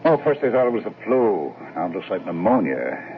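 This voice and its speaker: Deep, gravelly, easygoing husband. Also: male voice, sing-song, faint music behind. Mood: matter-of-fact. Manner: agreeable, unhurried, folksy. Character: easygoing, plain-spoken husband and father, agreeable family man. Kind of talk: radio drama acting. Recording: tinny, hissy old radio recording.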